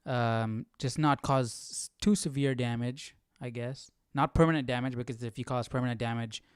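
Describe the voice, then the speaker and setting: A man with an average-pitched voice hosts a casual study stream. He thinks aloud, musing and questioning as he goes, often puzzled. The sound is dry, with no room echo. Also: fairly even intonation, normal speed, clean tone. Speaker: host of a live Bible study.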